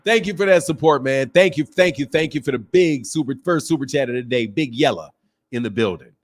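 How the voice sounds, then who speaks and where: A man's voice: medium pitch, fairly quick. A man from the United States hosting a livestream, chatting casually with viewers in an emphatic, slangy way and repeating phrases for emphasis. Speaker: livestream host of a boxing talk channel. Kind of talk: casual livestream chat, reading and answering viewer messages.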